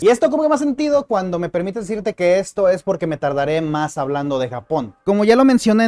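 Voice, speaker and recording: A man's voice: fairly high pitch, a little mellow. A man narrating a scripted video essay in a conversational, explanatory way, with casual asides to the viewer.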